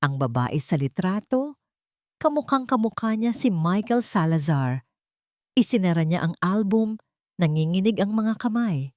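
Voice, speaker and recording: A woman's voice: mid-range voice, lively intonation, a little slow, a little mellow, dry recording, clean studio sound. A woman narrating a scripted drama story in a suspenseful, emotive manner.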